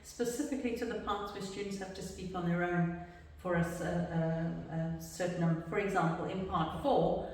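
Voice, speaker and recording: A woman who trains teachers for speaking exams, answering questions in a relaxed, chatty way. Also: fairly low pitch, moderate pace. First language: English. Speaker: exam trainer. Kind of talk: casual Q&A discussion. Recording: echoey room from far off.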